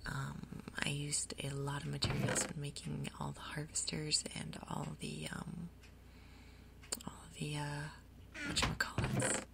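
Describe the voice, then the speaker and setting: A woman hosting a gaming video in a soft, breathy ASMR whisper, explaining things gently and without hurry. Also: sits low, monotone, unhurried. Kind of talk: whispered ASMR game commentary.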